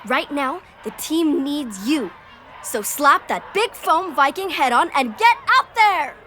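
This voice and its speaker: An actress playing a peppy, bossy high school girl in a family audio drama, urgent and encouraging. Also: lively intonation, slow pace, sharp tone, slight background noise, full character voice.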